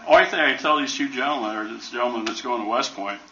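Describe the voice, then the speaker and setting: A man's voice: medium pitch, fast, sharp tone, a little hiss or hum. An older man in his seventies with an American accent, a retired helicopter pilot giving a casual, joking talk to a museum audience. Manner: folksy, joking storyteller. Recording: roomy, distant, dull recording.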